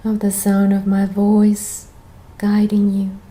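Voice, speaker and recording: A woman guides a meditation in a gentle, soothing way. Her delivery is slow and unhurried, with long pauses between phrases. The tone is relaxed and mellow, and her pitch stays even at a middle height for a female voice. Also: low-quality recording.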